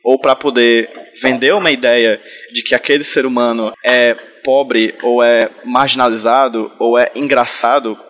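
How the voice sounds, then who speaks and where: A man's voice: medium pitch, fairly even intonation, unhurried, fairly bright tone. A man from Ceará, talking passionately in a casual group podcast conversation, with many restarts and tag questions. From Northeast Brazil, Ceará. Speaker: podcast guest, comics creator.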